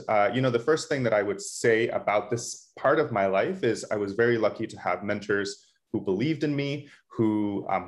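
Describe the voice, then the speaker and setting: A young American man with a fairly low voice gives a conversational online presentation. He explains things earnestly, in the manner of a tutor talking to students. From Florida, United States. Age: young adult. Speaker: medical tutor and resident presenting a webinar.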